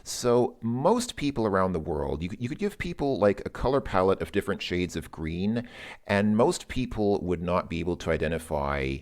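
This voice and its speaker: A man's voice: low voice, big pitch swings, normal speed. A man who speaks English natively, talking through his thoughts in a loose, musing monologue and often repeating words while he thinks. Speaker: language learner vlogger. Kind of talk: casual spoken monologue.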